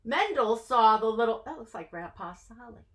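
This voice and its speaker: A woman reading a children's story aloud in an expressive, dramatic way, drawing out her phrases. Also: a little high, moderate pace. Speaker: story reader.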